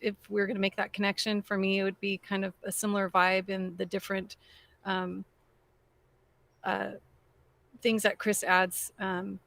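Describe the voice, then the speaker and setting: A woman band member answering interview questions in a halting way, with many fillers. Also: mid-range voice, little pitch movement, vocal fry, no echo. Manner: warm, halting, full of fillers.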